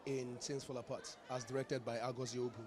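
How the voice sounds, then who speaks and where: A man with a Nigerian accent speaks as an interviewed stage actor. His pitch is average and his delivery is halting and hesitant, feeling for the next phrase. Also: flat delivery, a little mellow. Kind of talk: interview answer.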